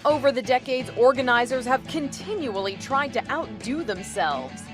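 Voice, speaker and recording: Lively American woman narrator over music. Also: on the high side, moderate pace. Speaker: video narrator. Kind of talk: scripted documentary narration.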